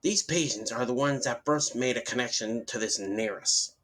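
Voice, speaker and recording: A man's voice: medium pitch, normal speed, fairly bright tone, lightly acted. A man voicing a grim male investigator in a horror story, speaking in a grave and ominous manner. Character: investigator with a camera, grimly piecing together horror.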